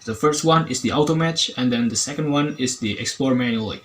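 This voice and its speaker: Halting Indonesian-accented man. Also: mid-range voice, a bit bright. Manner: halting, searching for words, often rephrasing. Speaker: reviewer. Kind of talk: casual app review to camera.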